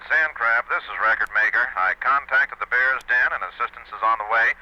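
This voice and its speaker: A man's voice: mid-range voice, bright, forceful tone. A man playing a helpful CB base-station operator, courteous and efficient, speaking over a radio. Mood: friendly, efficient. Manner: brisk, courteous radio procedure. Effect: CB radio filter. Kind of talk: acted CB radio dialogue. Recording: noisy, lo-fi CB radio transmission.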